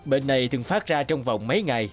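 A man voicing a stern, reproachful doctor in an animated drama, brisk and authoritative. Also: medium pitch, faint music behind, lightly acted.

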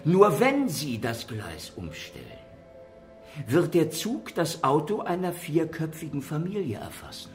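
An actor playing a rational, lecturing doctor with a measured, formal manner in a horror radio drama. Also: male voice, a little high, wildly swooping pitch, faint music behind. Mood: probing. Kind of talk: German radio drama acting.

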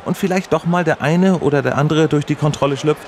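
An actor playing a polite, straight-faced male radio reporter, asking formal deadpan questions in a mock on-location report. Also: fairly high pitch, a little fast, a little hiss or hum, close to an everyday voice.

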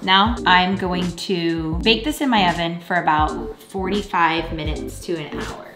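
A woman's voice: fairly low pitch, a little slow, music in the background. A woman in her forties chatting casually as she cooks in a large home kitchen, filmed from a camera across the room. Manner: chatty, talks while working at the stove. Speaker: home cooking vlogger. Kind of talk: casual cooking demo. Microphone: far camera on tripod.

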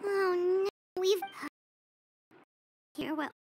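Squeaky, childlike little fairy companion. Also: female voice, drawn-out words, darkish tone, slight background noise, heavily acted. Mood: worried. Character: small floating fairy companion, childlike, chatty and excitable. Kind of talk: video game voice acting.

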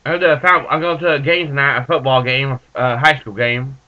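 A man's voice: mid-range voice, fairly even intonation. Stuffed-up young man on a muffled webcam. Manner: rambling, halting, laid-back. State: stuffed up from allergies. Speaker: vlogger reviewing dip tobacco. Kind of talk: casual webcam vlog. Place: small room with bookshelves, indoors. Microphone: built-in webcam mic.

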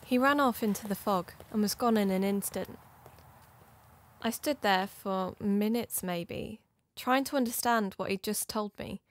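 An actress plays an uneasy female investigator telling her own mystery in an audio drama. The narration is scripted and confiding, in a mid-pitched voice recorded dry. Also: unhurried, dry recording, close to an everyday voice. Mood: uneasy, reflective.

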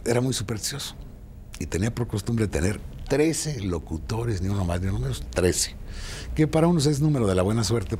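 A man's voice: fairly low pitch, a little mellow, a little hiss or hum. An older man, a radio announcer, telling an anecdote emphatically in a seated interview. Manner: emphatic, rhetorical questions.

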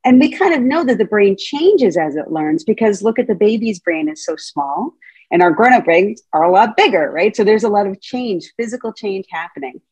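A woman's voice: mid-range voice, lively intonation, moderate pace, darkish tone, slightly rough, no room sound, low-quality recording. A middle-aged woman, a Montessori teacher trainer, giving a casual, playful talk over a video call and often asking her audience questions. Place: home room, indoors. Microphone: video call webcam.

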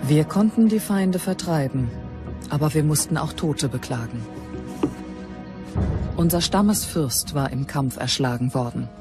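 Ernste germanische Stammesfrau mit Musik. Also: female voice, low-pitched, music in the background. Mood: solemn, grave. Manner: solemn, measured storytelling. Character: Germanic tribeswoman mourning and honouring her fallen chieftain. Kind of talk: scripted first-person docudrama narration.

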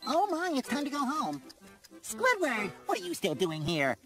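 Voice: medium pitch, huge pitch swings, normal speed. An actor voicing a cheerful, childlike young male sea sponge in a cartoon, eager and exclamatory. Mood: earnest, puzzled. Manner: eager, exclamatory, wide-eyed. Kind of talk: animated cartoon voice acting. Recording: loud music behind voice.

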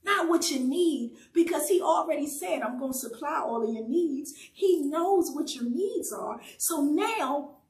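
A woman's voice: sits high, expressive, unhurried, a little mellow, roomy sound. A woman preaching a prayer and sermon, fervent and emphatic with repeated refrains, seated in an indoor studio set.

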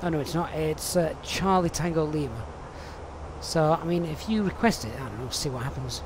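A man's voice: on the high side, expressive, normal speed, noisy background. A man streaming a flight simulator, chatting and reading out viewer questions with many ums and uhs. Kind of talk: live stream commentary.

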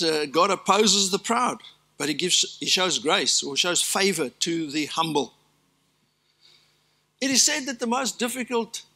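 Bright-voiced older pastor preaching. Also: male voice, on the high side. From South Africa. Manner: earnest preaching with emphatic lists and asides. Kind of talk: sermon. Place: large church stage.

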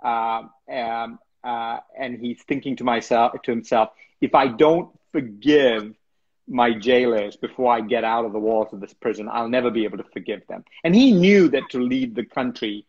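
A man's voice: mid-range voice, moderate pace, a little mellow, husky. A middle-aged man from Sri Lanka, hosting an interview over a video call from home, thoughtful and hesitant with frequent restarts. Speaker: interview host. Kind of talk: conversational interview. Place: home room with bookshelves, indoors. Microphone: laptop webcam on a video call. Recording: dull lo-fi video call audio.

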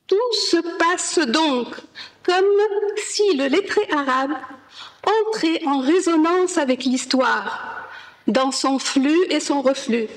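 A woman presenting an academic paper at a conference, reading in a slow, measured way with careful pauses. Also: high voice, lively intonation, poor audio. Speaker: conference speaker. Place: conference hall.

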